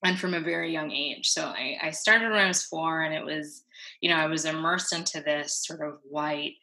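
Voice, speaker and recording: A woman, an interview guest talking thoughtfully and haltingly in a casual reflective conversation. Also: low voice, steady tone, sharp tone, rough recording. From New York City. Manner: thoughtful, halting, with many fillers and restarts.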